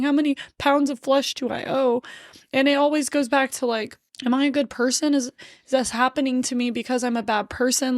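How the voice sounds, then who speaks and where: A woman's voice: high-pitched, dry recording, studio quality. A woman in her late thirties telling her story on a podcast in a blunt, profane way, laughing often. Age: 30s. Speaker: podcast host. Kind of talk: casual storytelling chat.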